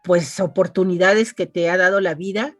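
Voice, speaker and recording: A woman's voice: on the low side, steady tone, normal speed, fairly bright tone, no echo, clean studio sound, soft background music. A woman hosting an online interview, chatty and reading a guest's résumé aloud with asides. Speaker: interviewer and host. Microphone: video call.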